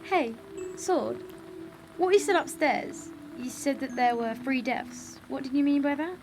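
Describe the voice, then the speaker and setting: A young female video maker in an audio drama, with a high-pitched voice. She is earnest, firm and a little wistful, over faint music and rain. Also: animated, unhurried. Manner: earnest, plain-spoken, decisive.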